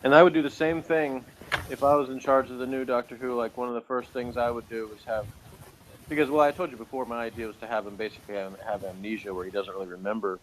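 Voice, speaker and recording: A man chatting casually as a review co-host, speaking in a hesitant, halting way. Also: medium pitch, steady tone, a little hiss or hum, poor audio. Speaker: podcast co-host reviewing audio dramas.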